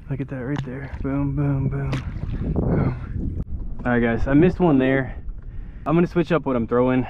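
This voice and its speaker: A young American man giving casual, excited running commentary to his viewers while fishing from a kayak outdoors, picked up by a camera mounted on the boat. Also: mid-range voice, narrow, tinny audio, background noise. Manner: excited, loose, swears casually, talks to viewers as guys. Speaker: fishing vlogger. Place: kayak on open shallow water outdoors. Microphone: action camera mounted on kayak.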